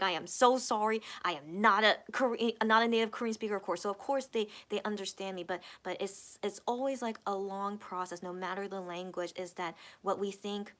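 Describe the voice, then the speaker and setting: A young woman talks casually on a live stream, answering her chat comments one by one. She rambles and repeats herself, with plenty of fillers. Her voice sits at an ordinary middle pitch for a woman. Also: fairly bright tone. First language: English. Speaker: livestream host reading chat.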